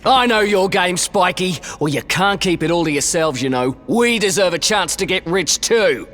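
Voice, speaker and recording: An actor playing a brash, greedy treasure-seeker in a video game, with a cheeky, slangy way of talking. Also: male voice, high-pitched, expressive, normal speed, a bit bright, soft background music. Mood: greedy, pushy. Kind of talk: scripted video game cutscene acting.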